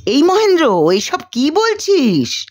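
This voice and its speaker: A woman's voice: high-pitched, huge pitch swings, takes it slowly, voice pushed up, full character voice. A woman voicing a young female student in a storybook, dramatic and easily exasperated, with an emphatic, exclaiming manner. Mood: astonished, scolding. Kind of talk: storybook character dialogue.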